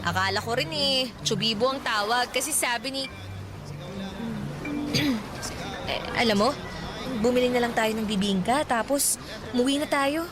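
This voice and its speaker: A woman's voice: on the high side, normal speed, long pauses, music in the background, lightly acted. A woman acting a sensible, bashful young woman with a Filipino accent, good-naturedly scolding in an audio drama. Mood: mildly exasperated. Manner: mock-exasperated, good-natured. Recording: clearly noisy background.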